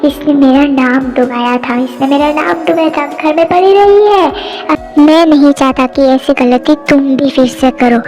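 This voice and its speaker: A young reader dropping the voice a little for a stern, controlling middle-aged father who scolds and gives orders. The voice still sits very high, so it reads as a home-made audio drama. Soft music plays behind. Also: moderate pace. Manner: commanding, scolding.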